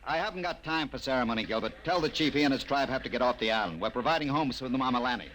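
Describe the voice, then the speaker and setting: An actor playing a curt, domineering army general in a 1950s radio drama, barking orders. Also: male voice, medium pitch, lively intonation, very fast, a little hiss or hum, close to an everyday voice, low-quality recording. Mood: impatient. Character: gruff domineering army general, middle-aged man. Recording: old radio broadcast with loud music.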